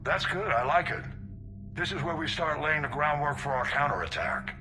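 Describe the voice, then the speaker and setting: A man's voice: a little high, very animated, normal speed, bright, forceful tone, a little hiss or hum, full character voice. An actor playing a resolute senior male military admiral in a video game, direct and commanding in his delivery. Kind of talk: video game character dialogue.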